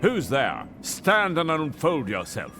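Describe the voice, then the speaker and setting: A man's voice: a little high, wildly swooping pitch, slow pace, over loud music, exaggerated voice. A man voicing a pompous, theatrical Shakespeare figure who speaks in grandiose, old-fashioned stage declamation in a cartoon game. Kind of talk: cartoon video game cutscene acting.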